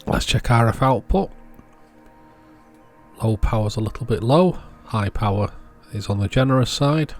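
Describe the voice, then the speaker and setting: A man's voice: medium pitch, normal speed, soft background music. A man giving an informal how-to voice-over in short, matter-of-fact sentences, as a repair channel host.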